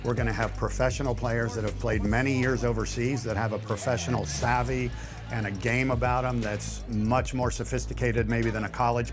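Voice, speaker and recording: A man speaks with confident enthusiasm about his team in short interview soundbites. His pitch is mid-range, and clear music runs under his words.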